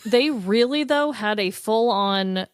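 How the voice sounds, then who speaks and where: A woman's voice: medium pitch, slow pace, clean tone, dry recording, studio quality. A woman hosting a podcast, chatting casually, reacting to stories and asking questions. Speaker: podcast host.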